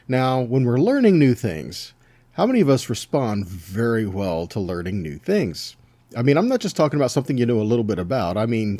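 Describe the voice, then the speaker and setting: Guy preaching like a bible class, relaxed mellow voice but pitch moves around a lot, folksy, keeps checking in with listeners. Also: no echo. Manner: folksy rhetorical questions and check-in tags like "right?" and "okay?". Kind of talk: teaching sermon, conversational.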